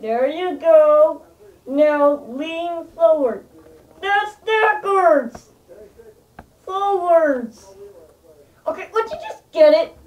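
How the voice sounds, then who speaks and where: Boy as exasperated puppet chef, high and slow, recorded from a distance. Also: dull audio, heavily acted. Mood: scolding. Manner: exasperated, scolding. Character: bossy impatient puppet chef.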